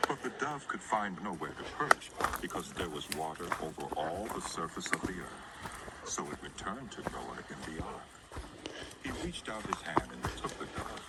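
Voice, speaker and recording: A man's voice: deep voice, heavy background noise. A man narrating scripture as a dramatized story in a solemn, theatrical manner. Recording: voice over prominent music.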